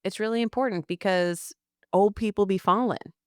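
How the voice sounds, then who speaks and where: A woman's voice: mid-range voice, moderate pace, darkish tone, dry recording, clean studio sound. A young adult woman co-hosting a casual podcast, playful and sarcastic, laughing often. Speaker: podcast co-host, speech-language pathologist. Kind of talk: casual chat.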